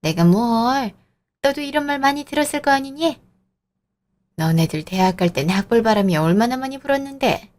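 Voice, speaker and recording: Audio drama role: woman voicing a haughty professor mother-in-law in her sixties, lecturing, raised pitch. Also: lively intonation, moderate pace, a little mellow, lightly acted. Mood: condescending. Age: 60s. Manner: sneering, lecturing, condescending.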